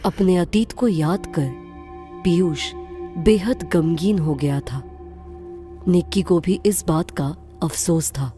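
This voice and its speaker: A woman narrating a story in a measured, unhurried way. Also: lowish voice, warm tone, soft background music.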